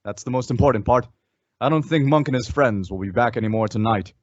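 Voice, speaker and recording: An actor playing a calm, resolute western hero in an old-time radio style, measured and instructive. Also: male voice, medium pitch, no room sound, lo-fi sound, close to an everyday voice.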